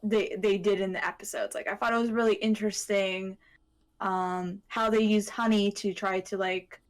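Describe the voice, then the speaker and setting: Female podcast co-host, medium pitch, thoughtful and halting as she explains, conversational. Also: steady tone. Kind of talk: casual discussion.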